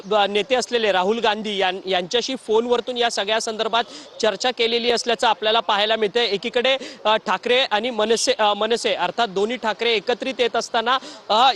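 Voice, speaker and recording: A man gives an unscripted live news report as a correspondent, wordy and halting, often repeating phrases. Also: high-pitched, fairly bright tone, slight background noise.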